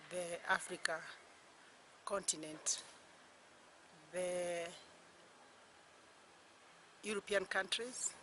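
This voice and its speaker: An older woman from Kenya with a Kenyan accent, giving a personal reflective talk in slow, halting phrases with long pauses. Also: on the low side, bright, forceful tone, a little hiss or hum. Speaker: retired university lecturer.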